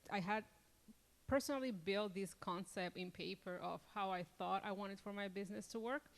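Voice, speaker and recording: A woman's voice: mid-range voice, normal speed, creaky. A middle-aged woman from Colombia, answering interview questions in a rambling way, with many restarts and fillers. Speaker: entrepreneur interview guest.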